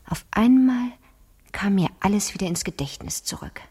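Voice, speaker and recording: An actress playing a cunning, self-assured fifteen-year-old girl in a radio play, telling her story in a theatrical, deliberate and breathy way. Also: medium pitch, lively intonation, dark tone. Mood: plotting, dramatic. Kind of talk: 1970s German radio play monologue.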